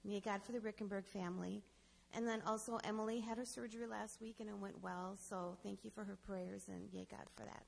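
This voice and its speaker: A middle-aged woman with a distinctly creaky, fry-edged voice speaks casually to her church. The hall adds long reverb and a little noise. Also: mid-range voice, steady tone, brisk. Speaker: congregation member sharing announcements. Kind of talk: casual spoken testimony. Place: large church sanctuary, indoors. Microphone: microphone on a stand.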